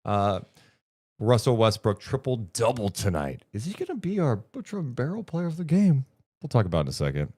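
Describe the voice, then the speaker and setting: A man's voice: mid-range voice, wildly swooping pitch, a little fast, a little mellow, breathy edge. A man hosting a sports podcast, chatting casually and joking between sponsor reads. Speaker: podcast host.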